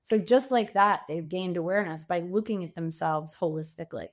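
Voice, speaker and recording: A woman speaking conversationally as a podcast guest and coach, telling stories in an animated way with bits of quoted dialogue. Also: lowish voice, a little fast. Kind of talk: conversational interview.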